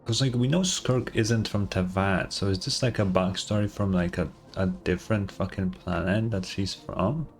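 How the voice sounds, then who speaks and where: A man's voice: low voice, moderate pace, creaky, hardly any pauses, soft background music. A man doing casual live reaction commentary as a game streamer, puzzled and prone to swearing.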